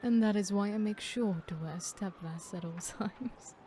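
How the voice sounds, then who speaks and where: A woman playing a sadistic, smug female serial killer in an ASMR horror roleplay, theatrical and unhurried, often dropping into breathy whispers. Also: medium pitch, warm tone, a little hiss or hum, close to an everyday voice. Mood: smug, taunting.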